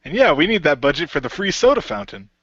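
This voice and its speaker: Male player, excitable and comic, swooping pitch, improvised role-play. Dry, lo-fi sound. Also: fast talker, breathy edge, no echo. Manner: excitable, goofy. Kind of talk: playful improvised in-character banter with narrated actions.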